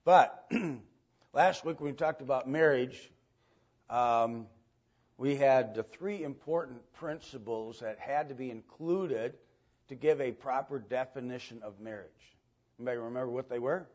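Halting male Sunday school teacher, dull recording. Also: mid-range voice, darkish tone. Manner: halting, with long hesitations and throat clearing. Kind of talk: informal Bible lesson to a group. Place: church room with a group present.

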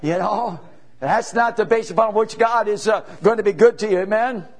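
A man in his seventies preaching a sermon as a traveling evangelist, folksy and repetitive, building to emphatic bursts. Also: sits high. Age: 70s. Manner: preaching with rising emphatic bursts and drawn-out pauses; folksy, repetitive, peppered with "you know" and "amen".

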